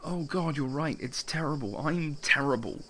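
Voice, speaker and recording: Anguished young writer: sarcastic, self-lacerating, animated delivery, audio drama. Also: male voice, on the high side, normal speed, a little hiss or hum. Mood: despairing. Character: anguished, bitter young playwright in love. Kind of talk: naturalistic audio drama acting.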